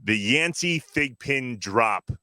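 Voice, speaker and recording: A man in his thirties co-hosts a casual sports podcast with upbeat, playful energy. His voice is bright and forceful, swinging widely in pitch, in a dry studio-like sound. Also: lively intonation, takes it slowly, no echo. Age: 30s. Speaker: podcast co-host.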